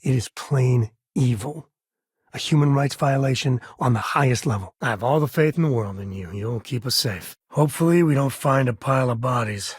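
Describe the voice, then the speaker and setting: Male actor as rugged spacefaring ex-lawman for a game: very raspy, folksy and wry, principled, dry close sound. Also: mid-range voice, moderate pace, no echo, natural voice. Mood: steady, reassuring. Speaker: video game companion character. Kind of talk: video game voice acting, naturalistic dialogue.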